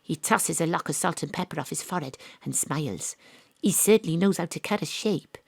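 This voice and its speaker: A world-weary female detective in an audio drama. Her voice sits a little low and is dryly recorded. She narrates with wry, deadpan noir delivery, like someone who has seen it all. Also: brisk, vocal fry, husky, no echo, exaggerated voice. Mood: deadpan, observant. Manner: deadpan, dry. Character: female private detective, world-weary, wry, hard-boiled ex-rugby star.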